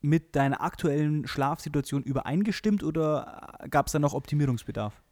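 Young man, higher voice, blunt, profane ranting, dry close sound. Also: moderate pace, a little mellow, dry recording. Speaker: podcast co-host. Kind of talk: casual chat.

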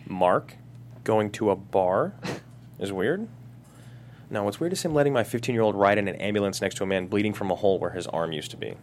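An actor playing an easygoing, affectionate divorced dad in a naturalistic comedy table read. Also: male voice, fairly low pitch, lively intonation, a little fast, lightly acted. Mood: affectionate, teasing. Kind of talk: naturalistic comedy script reading. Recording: faint background noise.

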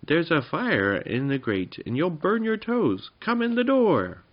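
A man voicing a small, excitable male goblin in a children's storybook, calling out urgently. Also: on the high side, unhurried, lo-fi sound, voice pushed up. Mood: frightened.

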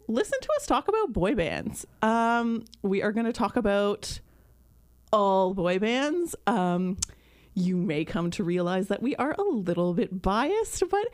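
A woman in her thirties from Canada, hosting a podcast in casual chat with many ums and pauses. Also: on the high side, a little mellow. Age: 30s. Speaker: podcast host.

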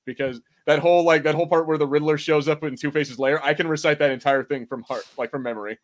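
A man's voice: on the high side, steady tone, quick pace, slight background noise. Enthusiastic man chatting casually as podcast host. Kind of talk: casual watch-party commentary.

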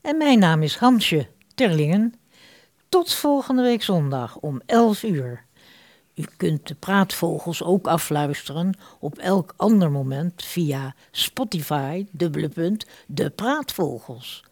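A woman's voice: lowish voice, animated, slow, darkish tone, no echo. A woman announcer reading a scripted announcement for a radio drama.

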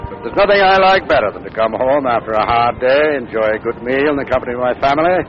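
Measured, wry American judge and father played by a man, old muffled radio. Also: mid-range voice, lively intonation, soft background music, natural voice. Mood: reflective, wry. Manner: measured, wry, mildly exasperated. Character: dry, patient middle-aged judge, husband and father. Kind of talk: 1940s radio comedy acting.

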